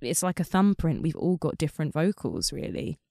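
A woman's voice: low-pitched, very animated, normal speed, gravelly, dark tone, dry recording, clean studio sound. A woman singer chatting as an interview guest, talking loosely with many fillers. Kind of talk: casual interview chat.

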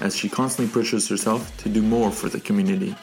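Male host with a low voice, quick prompting questions, light music bed underneath. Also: fairly even intonation, darkish tone. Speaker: podcast host. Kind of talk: interview hosting.

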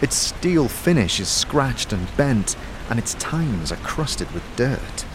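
A man's voice: medium pitch, very animated, takes it slowly, background noise. A man reading scripted fantasy narration dramatically, as the storyteller of an audio drama.

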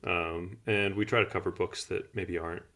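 A man's voice: low voice, steady tone, moderate pace, bright, forceful tone. A man of thirty chatting casually as the co-host of a podcast.

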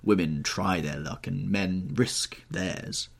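A man voicing an urbane, cynical and witty gentleman in an audiobook, with polished, epigrammatic delivery. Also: deep voice, slow pace, gravelly, exaggerated voice. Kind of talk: audiobook character voice.

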